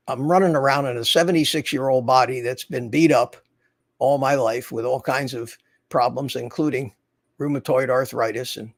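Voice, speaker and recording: A man in his seventies talks casually to his livestream viewers in a rambling, folksy manner. His pitch sits in the middle range, and the recording is dry and close. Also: dry recording. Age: 70s. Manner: rambling, folksy, reads viewer comments aloud. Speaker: livestream host. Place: his home in Florida.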